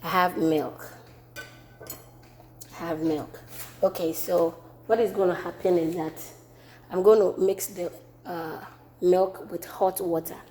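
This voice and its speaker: A Ghanaian woman talks through a home cooking demonstration in her kitchen. Her voice is low and mellow, and she speaks slowly, repeating phrases as she works. A faint hum sits behind her. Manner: relaxed, repeats herself while working.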